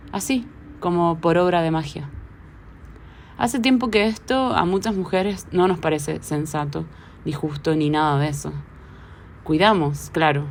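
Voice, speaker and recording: Woman co-host, low and gravelly, reads a measured statement with conviction. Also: a little mellow, slight background noise. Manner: earnest, measured, declarative. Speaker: podcast co-host. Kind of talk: read opening statement.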